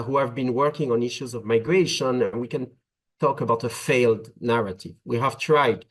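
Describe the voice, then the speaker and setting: French-accented man speaking with many pauses. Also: mid-range voice. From France. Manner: hesitant, frequent "uh" fillers, measured phrasing. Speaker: webinar panelist on migration policy. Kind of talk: policy talk, webinar presentation.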